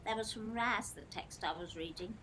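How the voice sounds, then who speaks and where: A woman's voice: medium pitch, normal speed, background noise, close to an everyday voice. An actress playing a cheeky young waitress in an audio play, dryly polite and standing her ground. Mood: defensive. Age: young adult.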